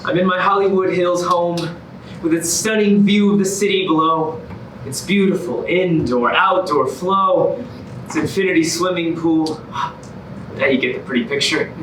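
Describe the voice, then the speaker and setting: A man's voice: high-pitched, a bit bright, slight background noise. A man playing a gloomy, defensive boyfriend with a morbid streak, theatrical in a staged musical comedy reading. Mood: smug scene-setting. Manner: theatrical, halting when worked up. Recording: echoey room, distant mic, music behind.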